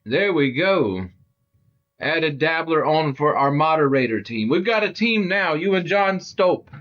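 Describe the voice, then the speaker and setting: A middle-aged man hosting a retro gaming livestream, talking loudly and chatting with his viewers. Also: a little high, lively intonation, unhurried, lo-fi sound. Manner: loud, chatty, talks to viewers. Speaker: livestream host. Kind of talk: casual gaming livestream chat.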